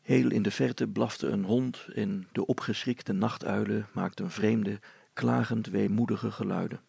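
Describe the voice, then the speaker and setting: A man's voice: fairly low pitch, steady tone, unhurried, vocal fry, a little mellow, breathy edge, no echo. A man reading a story as a scripted narrator.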